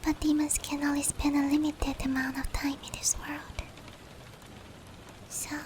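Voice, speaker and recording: A woman voicing a gentle, protective female demon in a soothing, breathy whispered ASMR roleplay, slow and comforting. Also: high-pitched, little pitch movement, a little hiss or hum. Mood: soothing, sleepy. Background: rain.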